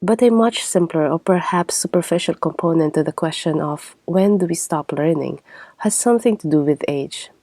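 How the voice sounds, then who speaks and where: Low-pitched woman podcast host, mellow. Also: moderate pace, no echo. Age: 30s. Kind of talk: reflective scripted monologue.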